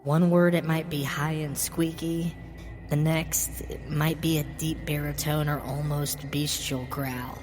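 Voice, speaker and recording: Low-pitched woman narrating in long reverb. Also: flat delivery, unhurried, darkish tone. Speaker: horror story narrator. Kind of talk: scripted first-person horror narration. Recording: long reverb with music behind. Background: music.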